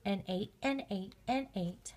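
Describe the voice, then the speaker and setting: A woman reading a children's storybook aloud with measured, expressive narration. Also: medium pitch, a little hiss or hum. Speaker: storybook reader.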